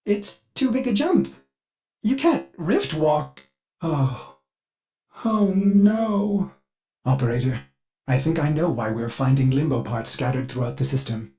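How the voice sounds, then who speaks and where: A ship's computer servant from a video game, eager and fussy in its courtesy. The delivery is precise and theatrical, swooping widely in pitch with a manic edge. Faint music sits behind the voice. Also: male voice, lively intonation, measured pace, dark tone. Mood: dismayed. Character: ship artificial intelligence servant, fussy, eager, excitable, with violent outbursts. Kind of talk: scripted video game character dialogue.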